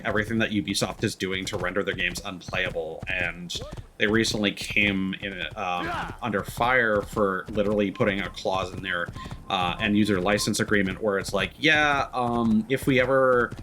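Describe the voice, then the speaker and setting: A man doing casual livestream commentary as a game streamer, talking in a rambling, halting, sweary way. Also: fairly low pitch, pushed and bright, a little hiss or hum.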